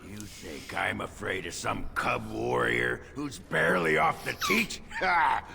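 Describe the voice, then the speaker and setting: An actor playing a bitter, belligerent drunken dwarf warrior in a fantasy game, ranting gruffly. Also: male voice, on the low side, huge pitch swings, unhurried, bright, forceful tone, a little hiss or hum, full character voice.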